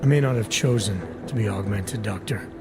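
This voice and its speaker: An actor playing a gruff, guarded augmented agent in a video game, terse and deadpan with a gravelly voice. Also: male voice, on the low side, fairly quick, very noisy, natural voice. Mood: skeptical, dry. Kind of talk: video game cutscene acting.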